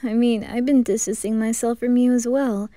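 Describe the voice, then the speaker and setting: Woman playing a mellow, tender boy in love. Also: a little high, steady tone, moderate pace, no echo, clean studio sound, lightly acted. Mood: earnest. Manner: gentle, breathy, often near whispering. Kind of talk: amateur romantic ASMR roleplay.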